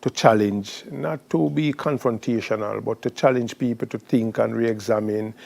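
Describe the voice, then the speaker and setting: A man speaks as the host of a literary event, at a middling pitch. His phrasing is deliberate and ornate, built up piece by piece like an orator's. He presents and frames things with rhetorical flourish. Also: fairly even intonation, creaky, darkish tone. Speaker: host of a poetry festival. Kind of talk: spoken introductions at a literary event.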